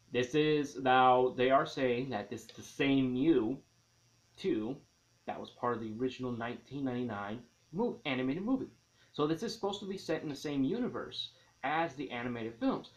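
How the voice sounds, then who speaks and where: Man reviewing movies, dull lo-fi recording. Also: mid-range voice. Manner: emphatic, dramatic stresses and drawn-out key words. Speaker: film reviewer and host. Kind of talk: enthusiastic spoken movie review. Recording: dull, lo-fi sound.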